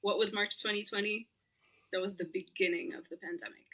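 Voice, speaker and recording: A young woman with a bright, clear voice. Her is Arabic, but she talks easily in English. She is chatty and laughs often as a podcast guest. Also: mid-range voice, fairly even intonation, brisk, rough recording. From Lebanon. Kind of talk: casual interview chat.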